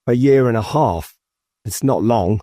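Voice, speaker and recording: A man's voice: mid-range voice, expressive, unhurried, warm tone, no room sound, clean studio sound. A man playing a restless, questioning young male rebel in audiobook dialogue. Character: restless young male rebel impatient about the next move.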